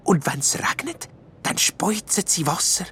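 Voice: medium pitch, sing-song, a little slow, a bit bright, a little hiss or hum, voice pushed up. A storyteller voicing a shy purple elf who explains things hesitantly but eagerly.

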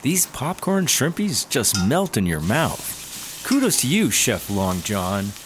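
An actor playing a breezy, argumentative, cocky slacker cop in a sci-fi comedy audio drama. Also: male voice, mid-range voice, sing-song, slow, noisy background. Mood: relishing. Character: cocky, laid-back, hungry buddy-cop slacker.

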